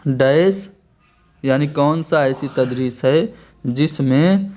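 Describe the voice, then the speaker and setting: A man's voice: a little high, slow, a little mellow. A man with an Indian accent teaching an online exam-prep lecture, explaining each answer step by step and restating key terms.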